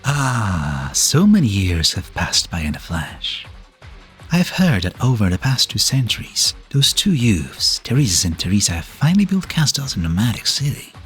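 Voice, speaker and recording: An actor playing an ancient, weary, wry male being in a fan-dubbed game drama, speaking in a slow, reflective, breathy way. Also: fairly low pitch, very animated, fairly bright tone, full character voice. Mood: wistful, weary. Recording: background music under the voice.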